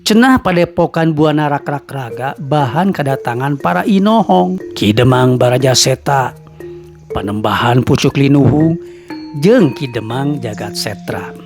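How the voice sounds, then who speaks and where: Slow-paced male folktale narrator. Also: medium pitch, lively intonation. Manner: slow, warm storytelling, greets listeners formally. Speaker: storyteller. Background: faint music.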